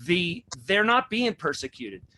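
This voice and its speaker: Preachy guy hosting a faith show, highish bright voice, keeps asking rhetorical questions. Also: expressive, measured pace, vocal fry. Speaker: host. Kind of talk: religious talk show.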